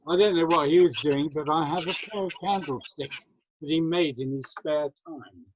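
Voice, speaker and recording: A man's voice: on the high side, moderate pace. An older man from England chatting casually and explaining things anecdotally, as an actor on an online call. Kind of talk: casual chat on an online workshop. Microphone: video call. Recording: noisy home video call.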